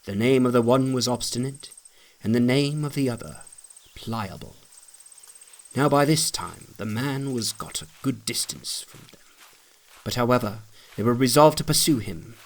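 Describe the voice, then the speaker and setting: A man's voice: medium pitch, rough recording. A man narrating an old allegory as a scripted dramatic reading.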